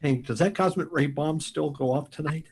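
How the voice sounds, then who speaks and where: A man's voice: a little high, normal speed, a little mellow, slightly rough. An actor playing a shaken male test pilot in a radio drama, urgent and insistent. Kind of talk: live radio drama acting.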